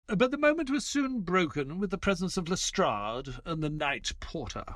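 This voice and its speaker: A man playing a formal, gentlemanly doctor who narrates his memoir as dramatic storytelling in an audio play. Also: sits high, very animated, normal speed, slight background noise. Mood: dramatic narration.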